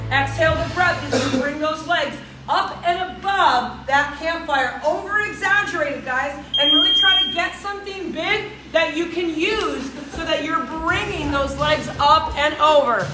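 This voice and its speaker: A woman's voice: high-pitched, measured pace. A woman in her thirties leading a live workout class as a fitness coach, bubbly and encouraging, cheering and counting down the intervals. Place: large gym with hard walls. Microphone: camera mic a few steps away. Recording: echoey gym with music behind. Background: workout music.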